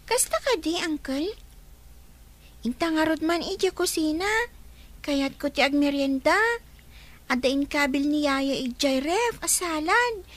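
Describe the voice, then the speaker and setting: A woman's voice: sits high, animated, unhurried, pauses often. A woman voicing a cheeky young niece who teases and pesters her uncle in a radio drama. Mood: teasing.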